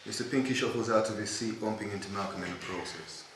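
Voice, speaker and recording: A man's voice: fairly low pitch, fast talker, fairly bright tone. A man reading stage directions as narrator in scripted narration at a table read. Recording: very echoey room, distant mic, noisy.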